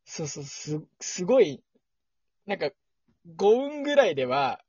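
A man's voice: high voice, big pitch swings, a little slow, darkish tone, husky, no echo, highs cut off. A man co-hosting a podcast in casual chat, talking with the gushing enthusiasm of a fan. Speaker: podcast co-host.

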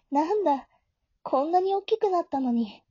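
Woman doing a high mellow schoolgirl voice, flustered but caring, audio drama w/ inner narration, bit muffled lo-fi. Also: lively intonation, unhurried, dry recording. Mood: caring, reassuring. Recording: dull, lo-fi home recording.

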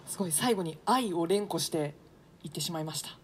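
A woman's voice: on the low side, a little fast, slight background noise. Reactive woman hosting a playful radio show. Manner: reactive, polite, echoing the guest.

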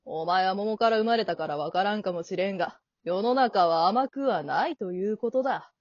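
A woman playing a cocky grown-up male monkey who talks in rough, flippant slang in an amateur comedy radio drama. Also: mid-range voice, moderate pace, a little mellow, no room sound, close to an everyday voice. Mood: smug, lecturing. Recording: dull lo-fi audio.

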